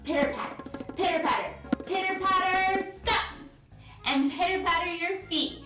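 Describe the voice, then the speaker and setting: A woman's voice: extremely high pitch, moderate pace, music in the background. A woman leading an interactive story and movement lesson for young children, giving simple, encouraging instructions in a sing-song way with repeats. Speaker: children's yoga and story time host. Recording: roomy echo, distant mic.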